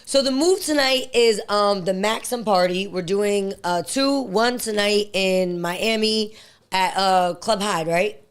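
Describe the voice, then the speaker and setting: A woman in her thirties, a little hoarse, trading playful teasing banter as a guest on a podcast. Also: mid-range voice, slow pace. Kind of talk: casual podcast chat. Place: podcast studio.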